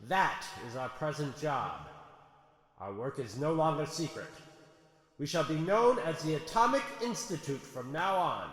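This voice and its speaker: An actor playing an earnest, authoritative atomic scientist, speaking solemnly and declaiming in a pulp audio drama. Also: male voice, on the high side, a bit bright. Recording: echoey hall-like reverb.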